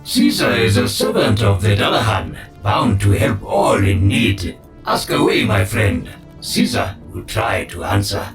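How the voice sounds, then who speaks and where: An actor playing a non-human male healer who serves a cult, preachy and scornful, speaking of himself in the third person in a gravelly voice. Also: lowish voice, lively intonation, measured pace, far from the mic, faint music behind. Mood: welcoming.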